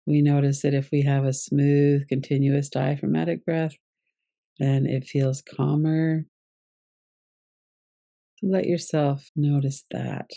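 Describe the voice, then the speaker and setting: A woman teaching yoga nidra, leading a guided relaxation meditation in a calm, gentle, unhurried way. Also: deep voice, creaky, no echo.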